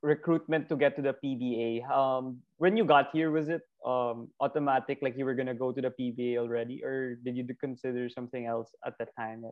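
A man's voice: medium pitch, fairly even intonation, brisk, hardly any pauses. A male podcast host asking long, rambling interview questions. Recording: tinny phone-like line.

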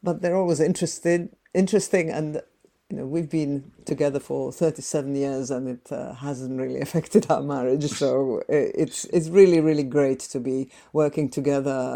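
Mellow-voiced woman, native Slovak speaker. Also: a bass voice, vocal fry. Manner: thoughtful, with frequent pauses and filler "uh"s. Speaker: interview guest, translator. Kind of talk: conversational interview answers.

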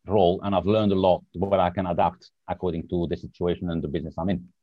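Deep-voiced Lebanese-born man, dull remote audio. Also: level tone, fast, dry recording. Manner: searches for words, hesitant. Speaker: podcast guest. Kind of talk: casual interview chat.

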